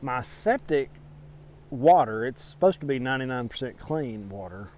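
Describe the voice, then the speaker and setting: A man with a medium-pitched voice narrates an informal outdoor walk-through. He explains things casually, like a homestead vlogger talking to viewers. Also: animated, moderate pace, darkish tone. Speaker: homestead vlogger, channel host. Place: outdoors in a garden.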